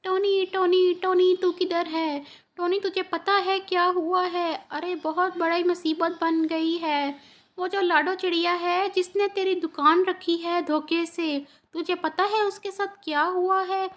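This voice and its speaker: A woman voicing an excited female bird friend in a cartoon story, calling out urgently with news. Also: very high-pitched, a little slow.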